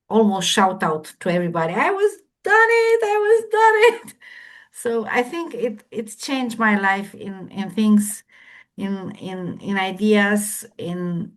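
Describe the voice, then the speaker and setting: A woman with a Romanian accent, a non-native English speaker, answering questions on a panel in halting speech with many repeated words. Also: medium pitch, expressive. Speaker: remote viewer panelist. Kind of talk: casual Q&A discussion.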